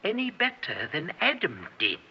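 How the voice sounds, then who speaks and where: An actor playing a quietly menacing colleague turned gunman in an old-time radio drama, deliberate and husky-voiced. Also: a little high, wildly swooping pitch, slight background noise, exaggerated voice. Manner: deliberate, pointed questioning. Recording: dull, band-limited old radio recording.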